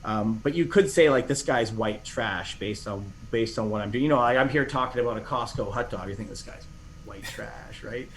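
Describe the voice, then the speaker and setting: A middle-aged man chats on a podcast, circling back on himself with fillers and restarts. His voice sits at an ordinary pitch, and a faint hiss of background noise hangs behind him. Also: moderate pace. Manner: rambling, many restarts and fillers. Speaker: podcast guest. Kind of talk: casual interview chat.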